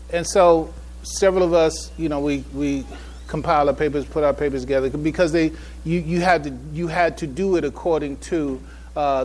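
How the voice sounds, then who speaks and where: A man with a slightly raised pitch addresses an audience as a presenter. He speaks haltingly, often doubling back on his phrases, in the manner of someone presenting a paper at a public event. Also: a little mellow, slight background noise. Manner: halting, repeats and restarts phrases, trailing asides. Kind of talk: public address, informal preamble then reading a paper aloud.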